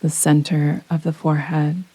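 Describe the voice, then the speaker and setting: Female meditation guide: soothing, very even intonation, long unhurried pauses, intimate dry sound with rain behind. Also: deep voice, breathy edge, no echo, poor audio. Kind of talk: guided yoga nidra relaxation meditation. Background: soft rain sounds.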